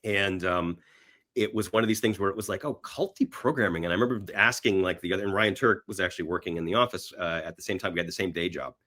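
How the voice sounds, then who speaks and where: A man's voice: low voice, fast, pushed and bright. A man being interviewed, telling stories casually in a rambling way and acting out imagined bits of dialogue. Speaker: podcast guest, screenwriter.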